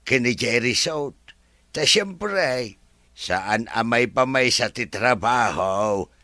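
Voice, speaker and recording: A man's voice: mid-range voice, expressive, takes it slowly, bright, forceful tone, no echo. A man playing a deferential resort caretaker. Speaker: worker at the resort. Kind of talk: radio drama acting.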